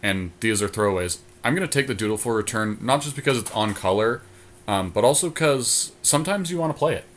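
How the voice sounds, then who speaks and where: Male podcast co-host, lowish pitch, conversational game commentary, thinking aloud, slightly noisy recording. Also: lively intonation, fairly quick. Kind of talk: casual unscripted game draft commentary.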